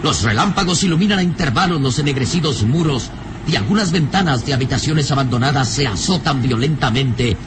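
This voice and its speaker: A man's voice: medium pitch, animated, a little fast, noisy background, lightly acted. A man narrating a radio serial from a script in a grave, suspenseful, theatrical manner.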